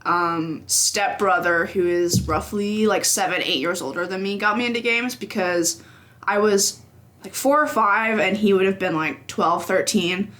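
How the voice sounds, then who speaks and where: A young games writer chats as a podcast guest. The voice is bright and forward, and the talk is relaxed and rambling with giggles along the way. There is a light room echo behind it. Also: medium pitch, unhurried. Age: young adult. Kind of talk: casual interview chat. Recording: echoey room recording.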